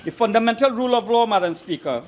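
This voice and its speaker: A man with a notably high-pitched voice delivers a formal speech in parliament. He is emphatic and deliberate, often repeating a phrase to give it weight, in the measured register of legislative debate. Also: animated, heavy background noise. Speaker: member of parliament. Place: parliament chamber.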